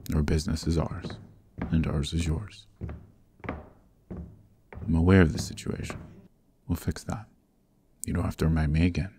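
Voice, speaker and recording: A man playing a cold-hearted, commanding young mafia heir in a scripted ASMR roleplay, speaking sternly in a gravelly voice with clipped menace. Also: background noise. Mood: cold, threatening. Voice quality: gravelly, very deep.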